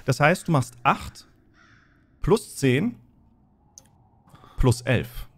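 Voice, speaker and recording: A man running a tabletop game as game master, explaining and narrating in a deliberate way. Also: mid-range voice, very animated.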